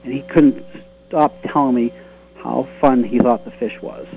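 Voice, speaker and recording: A man's voice: medium pitch, fairly even intonation, measured pace, gravelly, slight background noise. A man with a Boston accent, a webcomic creator being interviewed, talking earnestly with many restarts. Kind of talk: casual interview talk. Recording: tinny phone-line sound.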